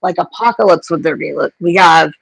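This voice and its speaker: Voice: medium pitch, a little fast, creaky, crowd noise, low-quality recording. A middle-aged guest on a casual livestream chat, speaking hesitantly with frequent stammers and restarts. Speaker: podcast guest.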